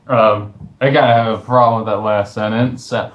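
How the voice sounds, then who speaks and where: A man's voice: lowish voice, steady tone, normal speed, lo-fi sound. A man co-hosting a review, giving conversational critical commentary in a questioning, wry way. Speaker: co-host, reviewer.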